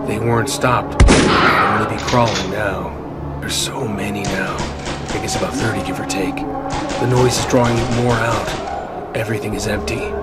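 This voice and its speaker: A man narrating a first-person horror story as a scripted radio play. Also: on the low side. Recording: prominent music under the voice.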